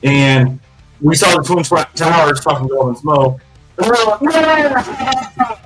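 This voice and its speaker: Male co-host, early 40s, slightly high voice, slangy rambling banter full of swearing, faint music behind. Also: lively intonation, measured pace, fairly bright tone, rough recording. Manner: loose slangy rambling, says man and dude. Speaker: podcast co-host. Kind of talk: casual profane chat.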